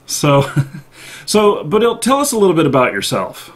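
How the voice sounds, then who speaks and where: A man hosting a casual live-stream interview, speaking informally and haltingly as he thinks aloud. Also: fairly high pitch, wildly swooping pitch. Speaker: live stream show host.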